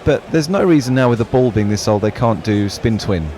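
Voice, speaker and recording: A man's voice: mid-range voice, lively intonation, a little fast, mellow. A man on cricket radio, genially reading listener messages and bantering with co-hosts. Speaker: co-commentator reading listener tweets. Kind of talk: casual radio chat. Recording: faint background noise.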